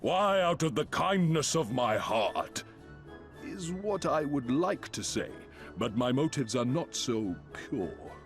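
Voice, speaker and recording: An actor playing a courteous, earnest turtle-like merchant in a game, speaking in formal, archaic phrases. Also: male voice, medium pitch, big pitch swings, slow. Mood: courteous, candid. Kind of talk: video game cutscene acting. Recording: background music clearly audible.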